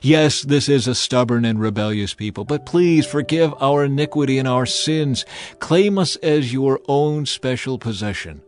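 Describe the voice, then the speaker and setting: A man's voice: mid-range voice, moderate pace, natural voice. A man voicing a humble, earnest prophet pleading reverently in dramatized scripture. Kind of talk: dramatized audiobook acting.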